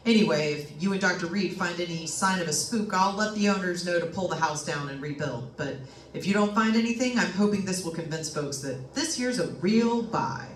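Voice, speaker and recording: A woman's voice: on the low side, expressive, brisk, close to an everyday voice. A woman playing a plain-talking, skeptical male real estate agent in old-time radio drama style, telling things in a folksy, matter-of-fact way. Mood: dismissive, folksy. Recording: echoey room, distant mic, faint noise.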